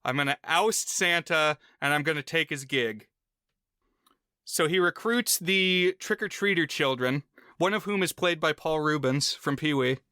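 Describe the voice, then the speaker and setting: Bright, crisp-voiced man recapping a film. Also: on the high side, measured pace, no echo. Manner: wry, dry humor. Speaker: podcast co-host. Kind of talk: casual chat, recapping a film.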